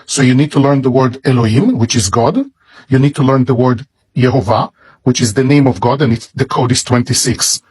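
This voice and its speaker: Guy with a hebrew accent showing off a bible, slow and careful, kinda husky. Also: medium pitch, fairly even intonation. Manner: slow, deliberate, reverent. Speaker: seller presenting a bible. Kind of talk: product demonstration.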